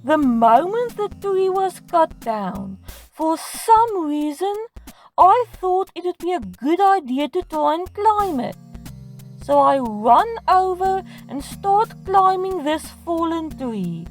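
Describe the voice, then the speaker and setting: A woman's voice: very high-pitched, big pitch swings, slow. A woman giving scripted comedic storytime narration in a dramatic, self-mocking manner. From South Africa. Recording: music playing behind the voice. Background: music.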